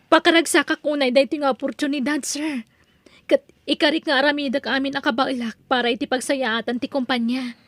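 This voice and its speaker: A stern, haughty young HR officer, voiced by a woman, scolds in a high voice. The sound is dry and close, with no room around it. Her delivery is bossy and sharp-edged, like a radio drama villainess. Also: steady tone, brisk, no echo. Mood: grateful. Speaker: actor in audio drama. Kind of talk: Ilocano radio drama acting.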